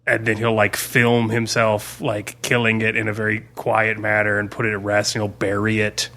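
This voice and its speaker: Bright-voiced man in rambling podcast chat. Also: on the low side, completely flat delivery, moderate pace. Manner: rambling, filler-heavy, emphatic slangy enthusiasm. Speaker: podcast co-host. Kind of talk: casual podcast chat about zombie fiction and comics.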